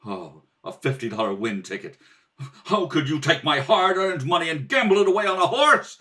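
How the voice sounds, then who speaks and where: A man's voice: fairly high pitch, animated, normal speed, sharp tone. A man playing a sarcastic, sleep-deprived, put-upon husband in an old-time radio comedy read-through, grumbling and exclaiming in exasperation. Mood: outraged. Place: kitchen at home. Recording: distant home recording at kitchen table.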